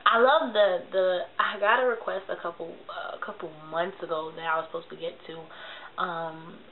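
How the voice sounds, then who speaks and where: A woman's voice: lowish voice, steady tone, moderate pace. A young woman with a congested, nasal voice chats casually to camera, giving advice in slangy asides and smacking her lips as she talks. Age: young adult. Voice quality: nasal from a cold. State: congested, slightly sick. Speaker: video blogger giving relationship advice. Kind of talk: casual confessional chat to camera. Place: small bedroom, indoors. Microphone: webcam mic at arm's length. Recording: tinny lo-fi webcam audio with hiss.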